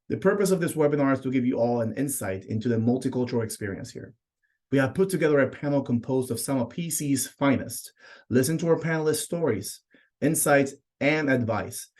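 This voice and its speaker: A young man, an admission counselor, opening a webinar over a video call with a measured, formal, scripted delivery from a small office. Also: mid-range voice, a little mellow. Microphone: laptop mic on video call.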